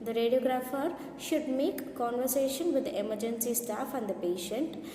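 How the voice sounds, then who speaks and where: Woman lecturer, fairly high voice, mellow tone, careful read-out delivery, reverberant room. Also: moderate pace. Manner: careful, reading from notes with small slips. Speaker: lecturer in radiography. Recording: echoey room with long reverb, faint noise.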